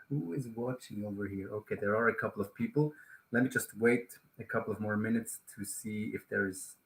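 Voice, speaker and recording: A man's voice: on the low side, sharp tone, poor audio. A man in his late thirties from Switzerland, with a Swiss German accent, chatting casually and a little hesitantly as the host of a live stream. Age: late 30s. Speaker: YouTube host.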